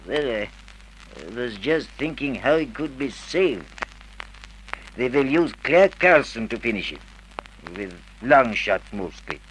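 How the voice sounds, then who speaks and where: Self-pitying male producer, drawn-out delivery, bright high tone, vintage radio melodrama. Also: very animated. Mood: musing. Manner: theatrical, repeats himself. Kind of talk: Golden Age radio drama acting. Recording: noisy old radio drama recording.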